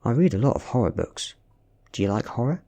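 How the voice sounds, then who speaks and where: A man voicing a sickly, nerdy teenage boy, earnest and self-deprecating, in a softly read story. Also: mid-range voice, big pitch swings, fairly quick.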